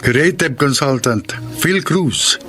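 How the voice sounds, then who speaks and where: A Filipino man announces a radio drama with slow, deliberate phrasing. His pitch moves dramatically, and music plays clearly behind him. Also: animated. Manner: deliberate, theatrical.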